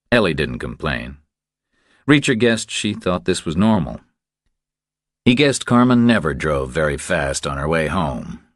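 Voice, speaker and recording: A man's voice: rumbling low, no echo, clean studio sound. A man reading a novel aloud as a scripted third-person audiobook narration.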